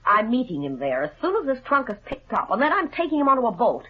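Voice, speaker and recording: An actress playing a self-assured, pert young woman in a 1950s radio detective drama, with a slightly husky voice. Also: a little high, big pitch swings, fast. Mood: defiant, cool. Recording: dull, lo-fi vintage 1950s radio recording.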